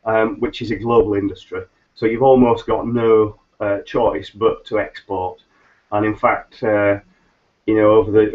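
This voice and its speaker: A middle-aged man, nasal and a little hoarse from hay fever, hosting a solo business webcast in a rambling way with many ums and restarts. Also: fairly low pitch. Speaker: business consultant host. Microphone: webcam mic on a video call. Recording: slightly dull lo-fi webcam audio.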